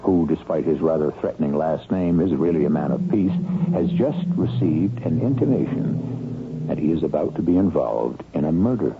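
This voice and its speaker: A man hosting a radio mystery show with deliberate, theatrical scripted narration. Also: a bass voice, lively intonation, noisy background. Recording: tinny radio broadcast, music behind.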